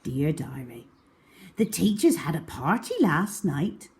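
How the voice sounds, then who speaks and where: Lady doing story time for kids, takes it slow, really up n down expressive voice. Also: low voice, slight background noise. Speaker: children's storyteller. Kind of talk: expressive storybook read-aloud in a child's diary voice.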